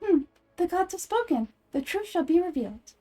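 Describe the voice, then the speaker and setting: Woman putting on a grandiose high-voiced fortune teller. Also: big pitch swings, warm tone, faint music behind. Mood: solemn. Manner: grandiose, drawn-out, oracular. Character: theatrical mystical fortune teller with pompous archaic speech.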